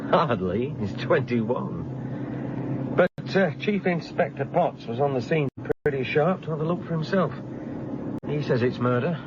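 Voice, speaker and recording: An actor playing a deferential young British police constable in a radio drama, matter-of-fact with a touch of dry humour. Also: male voice, fairly high pitch, lively intonation, unhurried. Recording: dull sound, clear car engine noise.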